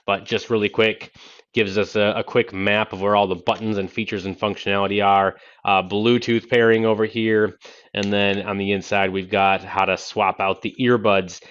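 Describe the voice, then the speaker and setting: Man, thirties, casual unboxing host, middling-low pitch for neither effect nor drama, halting conversational delivery, dull Bluetooth headset audio. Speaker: tech video host demonstrating a headset. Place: small home office, indoors. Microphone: single-ear Bluetooth headset. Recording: dull narrow-band Bluetooth headset sound.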